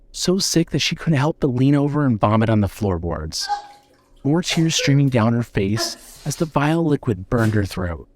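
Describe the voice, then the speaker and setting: A man reading scripted narration for an audio drama in a measured way. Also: medium pitch, dry recording.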